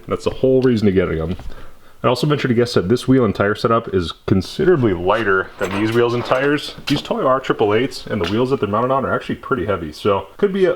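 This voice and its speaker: A man's voice: on the low side, animated, fast talker. A man explaining hands-on car repair in a casual, conversational way, as a vlogger in his garage. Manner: informal, conversational. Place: indoor garage workshop. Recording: noisy garage with background hum.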